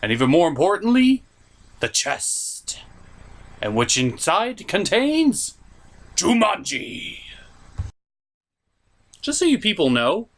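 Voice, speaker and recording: Animated male comedy movie reviewer. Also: high-pitched, takes it slowly, pauses often. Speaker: host of a movie review puppet show. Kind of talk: comedic film recap commentary.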